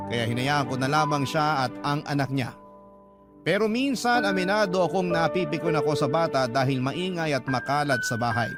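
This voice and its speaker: Man, radio storyteller, slightly high pitch, dramatic scripted narration, loud music bed behind. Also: normal speed. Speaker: radio drama host and narrator reading a letter. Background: loud background music.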